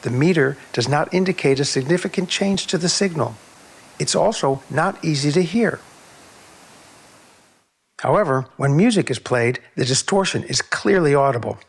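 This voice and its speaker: A man narrating a technical video on sound measurement in a measured, precise, explanatory way. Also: fairly high pitch, animated, slightly rough.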